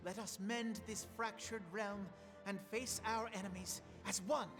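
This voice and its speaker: A man's voice: high-pitched, steady tone, measured pace, a bit bright. An actor playing an idealistic young male leader in a fantasy game, rallying recruits in formal, declamatory speech. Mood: solemn, rousing. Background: game music.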